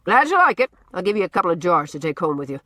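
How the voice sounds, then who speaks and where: A woman voicing a blustering, gruff old man who booms out orders and repeats himself as he teases. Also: big pitch swings, quick pace, bright, forceful tone, dry recording, full character voice. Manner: booming, repeats himself, teasing.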